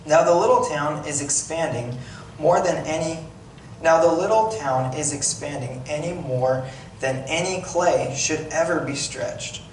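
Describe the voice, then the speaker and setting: A man's voice: on the high side, a little slow, close to an everyday voice. A man reading aloud as a plain-spoken, wry, slightly grumbling village potter, in a conversational storytelling way with occasional stumbles. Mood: matter-of-fact. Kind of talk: dramatic monologue read aloud. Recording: echoey room, distant mic, noisy background.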